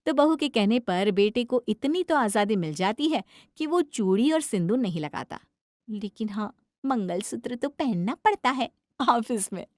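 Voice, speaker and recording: A woman's voice: a little high, lively intonation, normal speed, a little mellow, no room sound, studio quality. A woman storyteller giving dramatic narration for a cartoon story.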